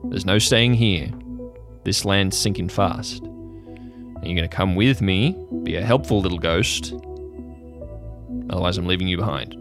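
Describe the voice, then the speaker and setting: An actor playing a gruff, fatalistic old sailor uncle in an improvised audio drama, curt and stubborn. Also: male voice, deep voice, a little slow, pauses often, music in the background. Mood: grim, resolute. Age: older. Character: stubborn, nihilistic old sailor uncle, bent on self-destruction.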